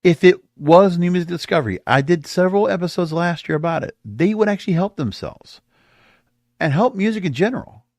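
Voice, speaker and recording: A man's voice: high voice, animated, fairly quick, darkish tone, dry recording, clean studio sound. A man hosting a solo podcast, giving opinionated commentary in an emphatic, rambling way and often repeating himself. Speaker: podcast host.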